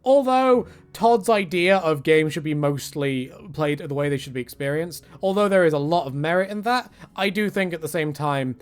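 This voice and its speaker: A man's voice: fairly high pitch, normal speed, hardly any pauses, clear, resonant tone. A man giving casual, joking commentary on video games in a rambling, self-deprecating, tongue-in-cheek way. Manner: swears casually.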